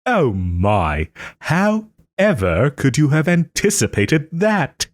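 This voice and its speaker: Man playing a haughty, wounded nobleman, dramatically expressive. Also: a little high, dry recording, studio quality, heavily acted. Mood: smug, mocking. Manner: theatrical, formal old-fashioned phrasing, hesitant pauses. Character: wronged young nobleman, haughty, wounded, still tenderly caring. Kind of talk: scripted romantic audio roleplay.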